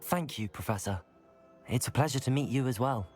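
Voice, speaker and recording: An actor playing a determined student wizard in a video game, speaking politely with understated composure. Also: male voice, mid-range voice, brisk, soft background music, natural voice. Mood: composed, earnest. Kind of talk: video game cutscene acting.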